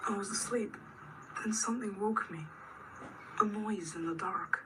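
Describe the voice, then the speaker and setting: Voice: mid-range voice, measured pace, lightly acted. A performer playing a frightened, earnest boy who narrates in a radio drama. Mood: hushed fear. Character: frightened, earnest American boy recalling horror. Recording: distant, noisy with ambience.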